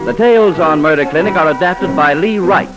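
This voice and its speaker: A man's voice: fairly high pitch, brisk, rough recording. A man working as host and announcer of a 1940s radio mystery series, speaking in a polished, formal scripted style. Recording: vintage 1940s radio broadcast. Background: music.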